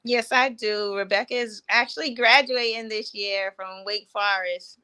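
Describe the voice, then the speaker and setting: A woman's voice: mid-range voice, steady tone, a little slow, pushed and bright, clear, resonant tone. A woman in her forties, a mother of four, answering interview questions in a modest, slightly hesitant way. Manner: modest, a bit hesitant, many fillers. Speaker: guest. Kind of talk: casual interview conversation.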